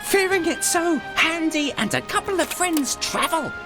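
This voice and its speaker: A woman's voice: very high-pitched, lively intonation, moderate pace. A woman playing a playful storyteller, narrating a rhyming fairy tale theatrically for a video game. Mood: dramatic. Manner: theatrical, enunciated. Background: music.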